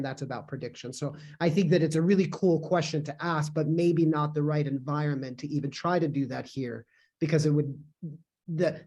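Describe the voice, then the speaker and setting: A man's voice: fairly high pitch, a little mellow. A man, a co-author, joining remotely to give a long, fluent academic answer in a Q&A. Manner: fluent and explanatory, with filler restarts.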